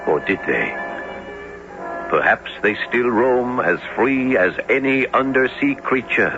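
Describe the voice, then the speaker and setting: An actor playing a thoughtful professor who narrates his sea adventure in measured, literary, dramatic radio style. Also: medium pitch, expressive. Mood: grave, dramatic. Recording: muffled old radio broadcast with music.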